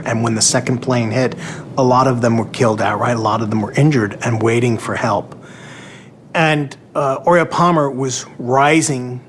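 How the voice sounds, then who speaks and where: A man's voice: mid-range voice, background noise. A man recounting events as a documentary interviewee. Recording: music behind the voice. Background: clear background music.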